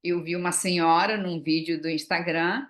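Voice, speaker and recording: A woman's voice: fairly low pitch, steady tone, normal speed. A woman, chatting casually in a vlog and explaining things with frequent fillers and tag questions. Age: 60s. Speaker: vlogger. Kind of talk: casual chat.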